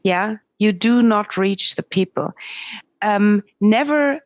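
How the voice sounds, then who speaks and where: A middle-aged woman with a Swiss German accent, speaking English as a second language, talking candidly as a podcast guest over a remote call with halting restarts. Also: medium pitch, dry recording, lo-fi sound. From Switzerland. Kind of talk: interview conversation.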